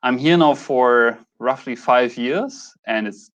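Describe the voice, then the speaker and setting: A man in his thirties speaks English with a German accent. His pitch sits in an ordinary middle range for a male voice. He talks casually, as a YouTuber does to viewers, feeling his way through sentences and often rewording them. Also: takes it slowly. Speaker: YouTube host. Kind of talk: casual talk to camera, introducing a channel.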